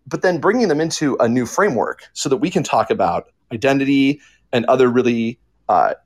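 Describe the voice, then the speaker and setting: Animated man explaining on a panel. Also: medium pitch, brisk. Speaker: podcast panelist, fiction writer.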